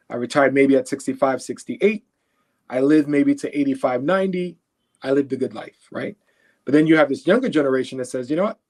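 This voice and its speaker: An earnest man from Haiti answers interview questions at a mid pitch. He talks conversationally, restarts and repeats words while he thinks, and keeps checking in with the listener. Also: darkish tone. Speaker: guest.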